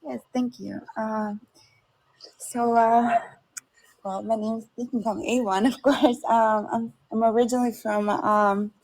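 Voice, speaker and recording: A young woman from Ukraine, a podcast guest telling her own story in a halting, hesitant, soft-spoken way over a remote call. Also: on the high side, steady tone, poor audio. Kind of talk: personal testimony.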